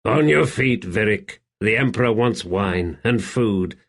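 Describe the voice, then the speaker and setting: A man voicing a shrewd slave in an audiobook, calm, deferential and measured, speaking quietly. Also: fairly low pitch. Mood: calm, coaxing. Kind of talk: audiobook character acting.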